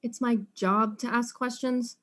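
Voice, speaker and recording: An actress playing a blunt, exasperated detective in a comic audio mystery, speaking in a dry, no-nonsense way. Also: female voice, fairly high pitch, little pitch movement, a little slow, fairly bright tone. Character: blunt, tough, exasperated detective questioning suspects.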